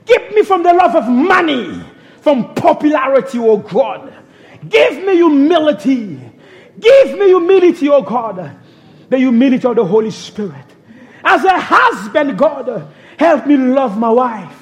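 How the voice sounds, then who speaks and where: A young man leads a fervent prayer with an unusually high voice that rises and falls dramatically. He speaks slowly, drawing out his pleas. He breaks his phrases with repeated cries to God. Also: sing-song. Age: young adult. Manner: fervent, pleading, crying out "oh God" between phrases. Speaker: minister.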